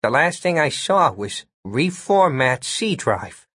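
A man plays a level-headed male agent in an audiobook, the judge of the pair. He is measured and skeptical, with a slightly rough, husky voice in a dry recording. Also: fairly high pitch, sing-song, a bit bright, no echo, pitched above the performer's own voice, full character voice. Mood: stunned. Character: even-toned, sensible male federal agent, the judge. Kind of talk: audiobook character voice.

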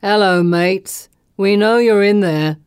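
A knife-wielding male villain, performed by a woman narrator, pitched well below her usual voice. He drawls his threats with a taunting sneer, in a close, dry audiobook recording. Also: animated, a little slow, a little mellow, no echo. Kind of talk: audiobook character voice.

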